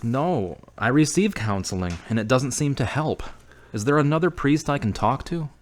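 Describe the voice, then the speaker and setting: A man's voice: medium pitch, animated, normal speed, lightly acted. A man acting a brooding, earnest, troubled character in an audio drama. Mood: troubled, confiding.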